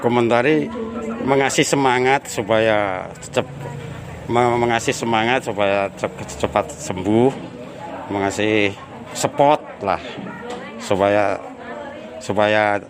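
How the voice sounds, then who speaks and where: A man's voice: lowish voice, slow pace, long pauses, a crowd in the background. A man from the village answering interview questions casually outdoors, speaking plainly, repeating himself and stumbling over his words.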